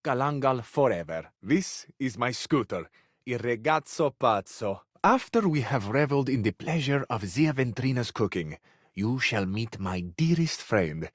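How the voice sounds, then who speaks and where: A man's voice: mid-range voice, sing-song, unhurried, dry recording, studio quality. A man voicing a flamboyant, hopelessly romantic Italian charmer in a video game, with an Italian accent and a florid, amorous delivery that savors each phrase. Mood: playful, affectionate. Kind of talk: theatrical video game character acting.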